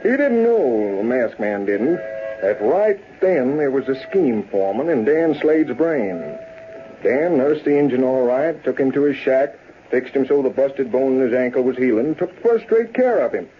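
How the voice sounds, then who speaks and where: Actor as folksy Western yarn-spinner, tinny old radio. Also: male voice, mid-range voice, expressive, unhurried, lightly acted. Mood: folksy, animated. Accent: American. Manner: drawling, colloquial, chuckling cowboy yarn-spinning. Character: folksy old-west storyteller spinning a tale for friends. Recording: tinny, noisy old radio broadcast recording.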